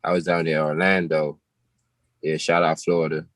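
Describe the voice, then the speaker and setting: A man's voice: extremely low pitch, flat delivery, moderate pace, a bit bright, dry recording, clean studio sound. A man in his twenties with a Jamaican accent, a musician chatting casually as a guest on an interview show. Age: 20s. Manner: laid-back, slangy, laughs often.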